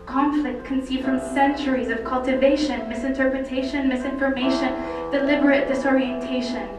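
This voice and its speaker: A woman from Cyprus performing slam poetry as a poet, with deliberate, impassioned phrasing and dramatic pauses. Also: sits high, level tone. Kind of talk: slam poetry recital, dramatic spoken word. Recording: very echoey, distant mic, loud music. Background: loud music.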